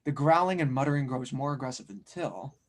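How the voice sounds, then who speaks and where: A man's voice: medium pitch, animated, a little fast. A man reading stage directions as narrator in a scripted audio drama table read.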